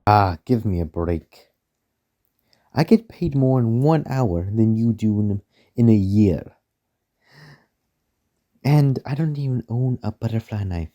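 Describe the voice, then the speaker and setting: A man's voice: on the low side, animated, slow, long pauses, warm tone, dull audio. A man playing a smug, arrogant, menacing rich mansion owner with a haughty, sneering delivery in an amateur radio play. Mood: indignant.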